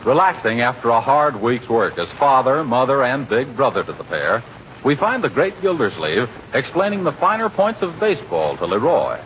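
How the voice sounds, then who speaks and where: A dull old radio recording with faint noise carries a man announcing in 1940s style. His delivery is polished and emphatic, with a very lively, wide-ranging pitch. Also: normal speed. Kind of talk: 1940s radio announcing, scripted sponsor message and narration.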